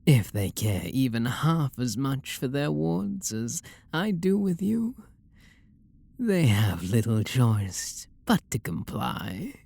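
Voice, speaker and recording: Breathy, slow British vampire lord, acted by a man. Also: medium pitch, sing-song, no echo, full character voice. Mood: possessive, flirtatious. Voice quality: breathy, gravelly. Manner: soft, slow, seductive murmur with breathy whispers. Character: refined, possessive, tender male vampire lord lover. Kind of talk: intimate ASMR audio roleplay.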